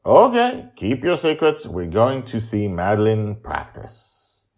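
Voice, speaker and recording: A man's voice: on the low side, wildly swooping pitch, takes it slowly, darkish tone, voice pushed up, heavily acted. A man voicing a concerned, curious father in a story, questioning insistently and stretching his words. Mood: relenting. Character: concerned, curious father, pressing then relenting.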